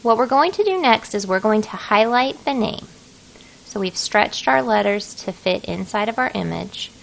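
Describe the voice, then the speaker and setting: A woman narrating an informal software tutorial, talking casually and thinking aloud as she works. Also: mid-range voice, gravelly, a little hiss or hum, rough recording. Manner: casual, folksy, thinking aloud while working. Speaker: craft design software tutorial host.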